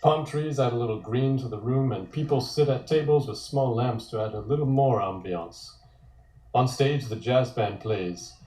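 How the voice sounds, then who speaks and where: A man's voice: mid-range voice, moderate pace, warm tone. A man reading a comic script aloud as narrator. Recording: echoey room.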